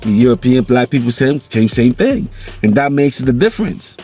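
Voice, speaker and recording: Jamaican male vlogger, mid-pitched, easygoing and rambling, faint music bed. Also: sing-song, normal speed, dark tone. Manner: loose, rambling, repeats "you know". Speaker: vlog host. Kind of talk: casual monologue vlog.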